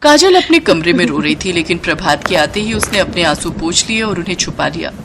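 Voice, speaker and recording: A woman's voice: on the low side, expressive, fairly quick, background noise. A woman reading scripted story narration.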